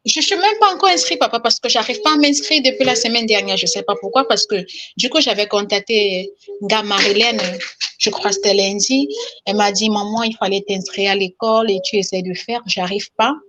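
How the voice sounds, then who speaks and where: A woman's voice: mid-range voice, brisk, a crowd in the background. A woman from Cameroon, calling in to a spiritual talk show to give an emotional testimony, often repeating herself. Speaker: caller giving testimony.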